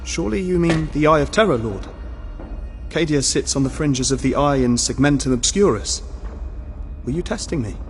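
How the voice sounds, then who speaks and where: A man's voice: on the high side, expressive, normal speed, soft background music, pitched above the performer's own voice. A man playing a dutiful human soldier in an audio drama, answering his superior respectfully in military fashion. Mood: puzzled.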